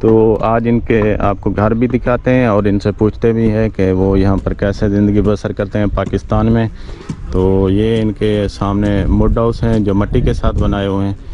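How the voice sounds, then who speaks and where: A man's voice: fairly low pitch, little pitch movement, moderate pace, warm tone, slight background noise. A man from Pakistan hosting a village tour, asking the locals short, friendly questions while filming outdoors on a handheld camera. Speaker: vlog host and interviewer. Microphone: camera held by the speaker.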